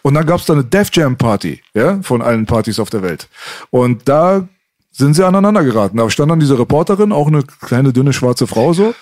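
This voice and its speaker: A man hosting a podcast, telling stories in casual, slangy, animated chat. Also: mid-range voice, normal speed, no echo, clean studio sound. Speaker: podcast host.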